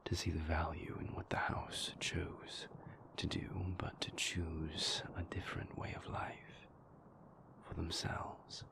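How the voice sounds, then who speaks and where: Brooding male vampire lover for intimate audio roleplay, acted by a man: very low, breathy and whispered, halting, conflicted, world-weary. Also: completely flat delivery, exaggerated voice. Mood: somber, measured. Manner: halting, deliberate, confessional, formal wording.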